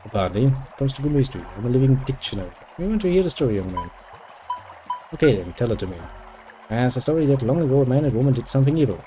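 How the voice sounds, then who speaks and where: A man's voice: medium pitch, very animated, fast, warm tone, faint music behind, low-quality recording. A man reading game dialogue aloud and adding dry, sarcastic jokes as he plays. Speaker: gameplay video host.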